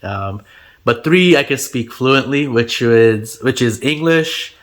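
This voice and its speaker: A man's voice: mid-range voice, expressive, takes it slowly. A man in his twenties, Gujarati native speaker from India, chatting casually in an interview with lots of fillers and easy laughter. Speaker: channel host being interviewed.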